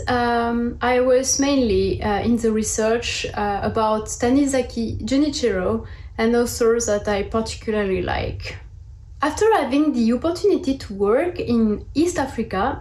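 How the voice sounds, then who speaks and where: A woman's voice: on the high side, darkish tone, reverberant, rough recording. A woman in her thirties from the south of France, with a French accent, giving a prepared presentation over a video call. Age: 30s. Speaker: guest speaker. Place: indoor room at home, on a video call. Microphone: video call microphone.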